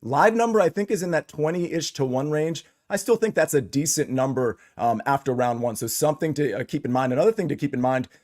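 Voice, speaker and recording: A man's voice: a little high, a little fast, hardly any pauses, darkish tone. Upbeat man hosting, slightly scratchy voice. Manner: upbeat, chatty, hypes the show. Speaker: host. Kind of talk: sports betting show.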